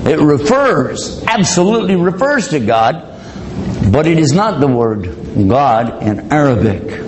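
A man's voice: on the high side, very animated, a little slow, noisy background. A man giving a public religious lecture, talking conversationally, joking and acting out both sides of dialogues. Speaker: Islamic lecturer.

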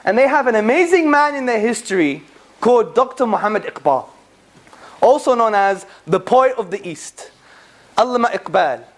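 A public speaker giving a lively talk to a live audience on faith and philosophy. The speaker fires rhetorical questions at the listeners, drops in jokey asides and recites scripture quickly. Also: mid-range voice. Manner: jokey asides with bursts of laughter; recites Arabic scripture passages rapidly.

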